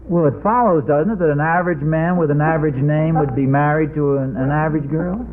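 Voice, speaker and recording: A man's voice: a little high, fairly even intonation, a little fast, natural voice. An American man playing a forgetful, stubborn middle-aged husband in a vintage radio sitcom, hesitant and earnestly explaining his odd logic. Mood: fretful. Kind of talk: 1940s-50s radio sitcom acting. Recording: tinny, noisy old radio recording.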